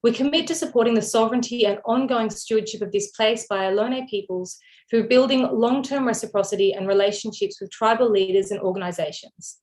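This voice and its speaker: A woman's voice: fairly high pitch, a little fast. Australian-raised woman reading formal welcome. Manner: steady, formal reading of prepared remarks. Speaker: event host. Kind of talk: scripted event welcome and introduction. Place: online video event.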